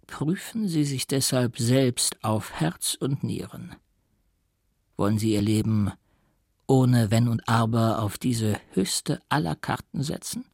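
A male reader plays a mysterious occult doctor from a Gothic audiobook tale. He speaks grandly and theatrically, with a gravelly, husky edge. His manner is zealous and faintly scornful, as if every phrase were an incantation. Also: medium pitch, expressive, takes it slowly, darkish tone, dry recording, clean studio sound, heavily acted. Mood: coolly persuasive. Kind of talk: dramatic audiobook character acting.

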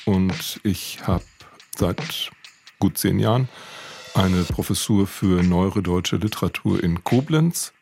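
A man's voice: low voice, vocal fry, darkish tone, slight background noise. A middle-aged man, a professor guesting as an expert, answering conversationally over a remote studio link. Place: radio studio, linked remotely.

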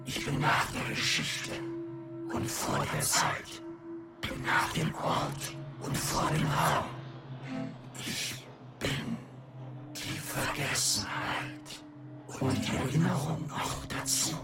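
A slow, solemn, androgynous machine-creature. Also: mid-range voice, big pitch swings. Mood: solemn, incantatory. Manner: declamatory, slow, fragmented phrasing with weighty pauses. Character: androgynous oracular machine-creature of memory and gaps, solemn, grandiose. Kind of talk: poetic radio drama monologue. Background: prominent music.

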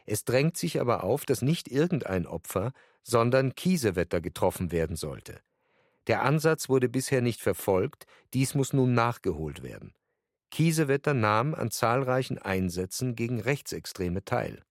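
A man's voice: medium pitch, sing-song, normal speed, no echo, clean studio sound. An actor playing a sober male courtroom speaker in a documentary radio drama, with formal, factual delivery. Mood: formal, insistent. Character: sober male courtroom witness and lawyer reading testimony.

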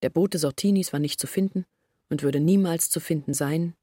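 An actress playing an earnest woman who confesses her family's failures in a reflective, measured monologue from a literary radio play. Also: deep voice, mellow, husky, dry recording, studio quality.

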